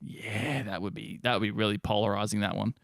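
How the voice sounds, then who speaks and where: A man's voice: fairly low pitch, steady tone, fairly quick, gravelly, dry recording, studio quality. An Australian man co-hosting a podcast, talking casually about games in a blunt, sweary way. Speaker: podcast co-host. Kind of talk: casual gaming chat.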